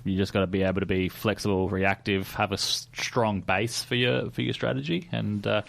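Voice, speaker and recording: A man co-hosts a motorsport radio show in a studio. His voice is low and flat, and the sound is dry and close. He talks in a steady way with some dry teasing. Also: a little fast, gravelly, dry recording. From Australia. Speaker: co-host. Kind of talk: casual motorsport chat.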